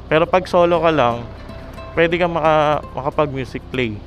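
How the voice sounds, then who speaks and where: Guy chatting on his motorbike, kinda high voice, helmet intercom, music clearly behind him. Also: normal speed, lo-fi sound. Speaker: motorcycle taxi rider and vlogger. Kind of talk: casual chat with a passenger while riding. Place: outdoors on a motorcycle in traffic. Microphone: helmet headset intercom.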